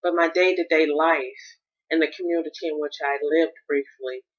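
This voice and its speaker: A woman's voice: sits low, fairly even intonation, moderate pace, muffled recording. An American woman hosting a solo podcast, thinking aloud in a rambling, reflective monologue with frequent restarts. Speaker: podcast host.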